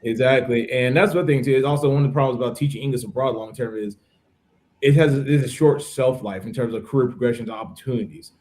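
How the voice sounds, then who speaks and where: A man in his thirties with an American accent and a mid-pitched voice. He speaks casually, as in a podcast conversation, and explains things in a chatty, informal way. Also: quick pace, a little mellow. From United States. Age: 30s. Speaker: podcast participant, English teacher.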